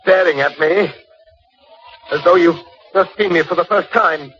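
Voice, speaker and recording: A man's voice: high-pitched, unhurried, fairly bright tone. An actor playing a defensive, menacing middle-aged stepfather in an indignant, theatrical old-time radio mystery style. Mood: outraged. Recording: dull vintage radio recording, faint music.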